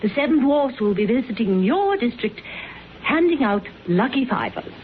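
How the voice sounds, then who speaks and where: A woman's voice: a little high, lively intonation, moderate pace, phone-quality sound, lightly acted. A thin, faintly noisy old radio recording carries an actress as a woman working alongside a detective. She is arch and sure of herself, swinging her intonation with playful, theatrical sarcasm. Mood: mock-grand, pert. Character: pert, self-assured, playfully sarcastic female detective's colleague. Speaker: actress playing the detective's colleague. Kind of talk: classic radio drama acting.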